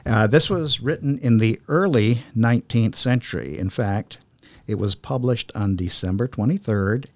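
A man with a fairly low voice speaks as a podcast host, recorded close and dry. His delivery is chatty and explanatory, with a halting flow. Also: a little mellow, no echo. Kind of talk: casual podcast talk and poem reading.

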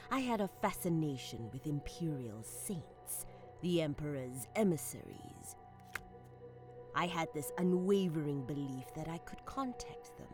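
An actress playing a calm, cryptic occult seer in an audio drama, soft and measured. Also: female voice, deep voice, exaggerated voice.

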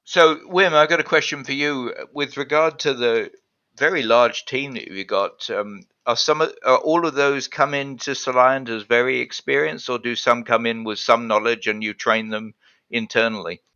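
A man's voice: medium pitch, normal speed, pushed and bright. A man co-hosting an interview, asking questions and making friendly, jokey asides. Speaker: co-host. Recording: dull lo-fi audio.

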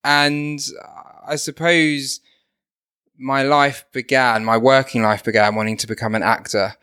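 A man talking about his work as a podcast guest, pausing often with ums and restarting his sentences. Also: mid-range voice, little pitch movement, pushed and bright, smooth, no room sound, studio quality. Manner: hesitant, full of ums and restarts. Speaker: guest, company co-founder. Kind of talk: podcast interview.

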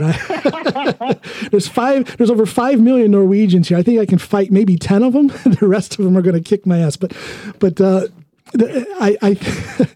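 A man's voice: sits high, mellow, no echo, clean studio sound. An American man hosting a podcast, chatting casually and bluntly, often laughing as he talks. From United States. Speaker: podcast host.

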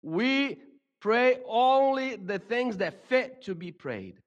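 A man's voice: extremely high pitch, animated, slow, dull audio. A middle-aged man from Brazil with a Brazilian Portuguese accent, giving a reflective talk to a congregation and often stumbling over repeated words and restarts. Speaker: speaker at a church gathering. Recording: long hall reverb.

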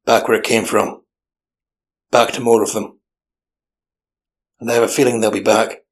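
A man playing a gruff, weary old detective with a deadpan, sardonic manner, narrating a noir audio drama. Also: on the low side, moderate pace, lightly acted. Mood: stern, warning.

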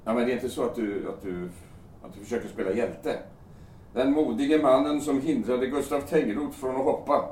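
A man's voice: mid-range voice, a little mellow, a little hiss or hum, natural voice. Man acting agitated, suspicious man, roomy sound. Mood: suspicious, sardonic. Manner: blunt, sweary, agitated, sardonic. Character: volatile, suspicious, desperate man threatening to jump. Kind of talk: audio drama acting. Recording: roomy sound, distant from microphone.

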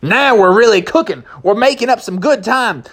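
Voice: mid-range voice, animated, normal speed, breathy edge, pitched above the performer's own voice. A storyteller voicing an old cowboy horse who bosses a garbage crew in a drawling, hearty way. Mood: whooping.

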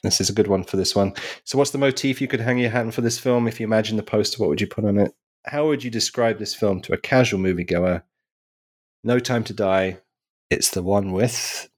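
A man hosting a podcast discussion, brisk and jokey, quick to laugh with his guests. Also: fairly low pitch. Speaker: podcast host.

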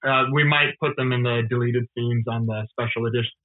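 Over a narrow, phone-like connection, a man speaks as a guest in a casual interview. His pitch is middling, and he talks earnestly with hesitant phrasing. The sound is dry. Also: steady tone, a little fast, no room sound. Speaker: podcast guest, associate producer of a TV series. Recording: thin phone-like call audio.

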